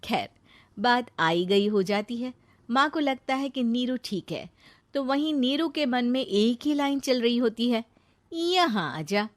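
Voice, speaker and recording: Female storyteller, fairly high voice, dry close sound, dramatised narration of domestic tales. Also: unhurried, dry recording.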